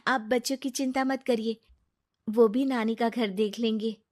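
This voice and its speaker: A dutiful young daughter from a cartoon family drama, played by a woman. Her voice is fairly high and she speaks earnestly and obediently, as a kind-hearted girl who looks after her family. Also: fairly even intonation, measured pace, a little mellow, close to an everyday voice. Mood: gentle, assured. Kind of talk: Hindi cartoon dubbing.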